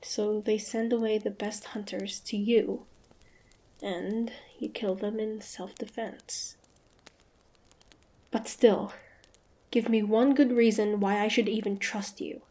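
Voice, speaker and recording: A woman playing a wary, sharp-tongued young demon hunter with a prickly but grudgingly softening manner, in a fantasy roleplay audio drama. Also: medium pitch, little pitch movement, slow, pauses often, close to an everyday voice. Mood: pensive, then suspicious. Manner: guarded, sharp-tongued, tsundere.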